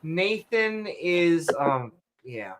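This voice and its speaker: A man co-hosting a casual late-night podcast, rambling and jumping between topics, his voice going hoarse. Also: fairly high pitch, big pitch swings, drawn-out words, background noise. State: losing his voice. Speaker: podcast co-host.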